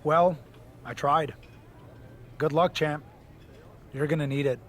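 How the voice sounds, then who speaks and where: A pragmatic wrestler sidekick in a video game story. The voice is a little high for a man, frank and wry, talking like someone giving sensible warnings. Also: steady tone, takes it slowly, slight background noise. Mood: cautioning. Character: pragmatic, cautioning male wrestler ally. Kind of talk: video game cutscene dialogue.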